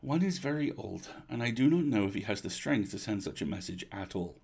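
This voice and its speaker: Guy doing a tired old noblewoman in a storybook, formal, sad n grave, kinda raspy. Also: on the low side, lively intonation, a little mellow, full character voice. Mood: worried, grave. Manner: formal, measured phrasing, sighing. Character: weary, regretful old duchess, formal and grave.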